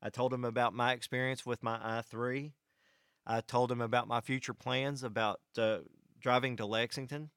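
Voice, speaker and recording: An American man with a clear, smooth, mid-pitched voice, recorded dry and close. He tells a personal story to listeners as a host, setting it out in measured, short phrases. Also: level tone, a bit bright, no room sound. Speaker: vlog host and electric cooperative employee. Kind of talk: first-person anecdotal monologue to an audience.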